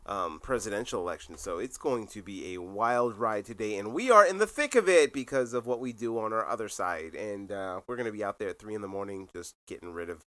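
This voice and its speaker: Bright-voiced man chatting on a podcast. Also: fairly low pitch, brisk, hardly any pauses, no room sound. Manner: relaxed, laughs often, rambling with fillers. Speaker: podcast co-host. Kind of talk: casual chat.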